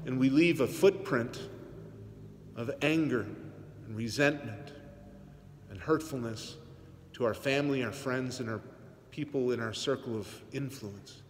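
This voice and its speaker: A priest in his forties preaches in an earnest, measured way, now and then slipping in a light tease. His voice sits at a mid pitch. It rings with the long reverb of a big church, caught by a phone on the pulpit. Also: a little hiss or hum. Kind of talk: sermon. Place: large church sanctuary. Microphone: phone camera on the pulpit, aimed up.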